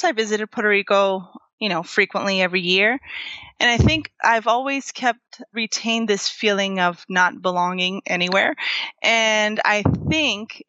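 A woman's voice: medium pitch, sharp tone, no room sound, lo-fi sound. A woman raised in Florida, a podcast guest who answers reflectively, with hesitations and fillers. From Florida, USA. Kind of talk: casual interview answers.

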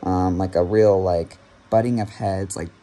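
A man's voice: low voice, steady tone, measured pace, mellow. A young man giving a casual, rambling review to camera with wry, self-deprecating asides, recorded on a phone at arm's length in a small room. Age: young adult. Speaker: podcast reviewer. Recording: clear background room noise.

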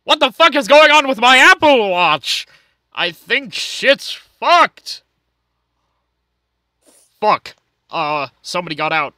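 A man's voice: very high-pitched, sing-song, slow, pauses often, bright, forceful tone, no echo, heavily acted. A man voicing a flustered, foul-mouthed showman in a comic cartoon fandub, blustering theatrically.

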